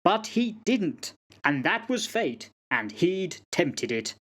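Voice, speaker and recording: Man acting a folksy, animated old soldier yarn-spinner. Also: sits high, slow, full character voice. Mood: wry, fatalistic. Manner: folksy, dramatic storytelling. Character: old soldier sergeant-major, fatalistic yarn-spinning raconteur. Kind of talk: amateur radio play acting.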